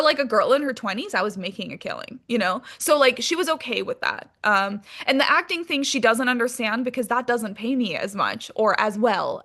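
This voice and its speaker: A woman's voice: mid-range voice, bright, forceful tone. A woman being interviewed as a guest, talking casually and candidly with informal language and some swearing.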